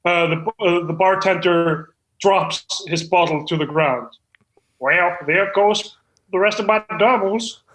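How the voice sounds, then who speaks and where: Self-important hopeful screenwriter male performer, high voice, stammering earnestness, comedy table read, echoey room. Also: measured pace, a bit bright. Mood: dramatic reading. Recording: roomy, echoey room sound.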